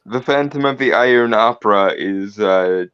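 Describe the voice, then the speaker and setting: A man's voice: lowish voice, moderate pace, clean tone. A man taking part in a tabletop roleplay game, commenting casually over a slightly muffled connection. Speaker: participant in the game.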